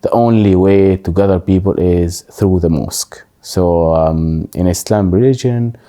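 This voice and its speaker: Slow, deep-voiced man. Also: mellow. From Syria. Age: 30s. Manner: halting, thoughtful, many pauses. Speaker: interviewee. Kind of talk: personal interview, life story.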